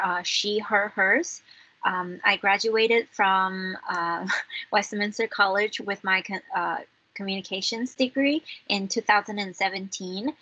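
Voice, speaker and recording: Bright young woman on a video call. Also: mid-range voice. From China. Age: 20s. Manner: hesitant, frequent "uh". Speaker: alumni panelist. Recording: muffled video call audio.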